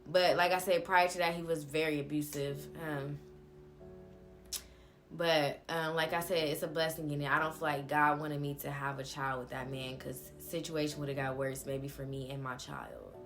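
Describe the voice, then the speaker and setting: Low-pitched young woman, candid and blunt. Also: flat delivery, moderate pace, faint music behind. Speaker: vlogger telling a personal story. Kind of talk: casual confessional storytelling to viewers.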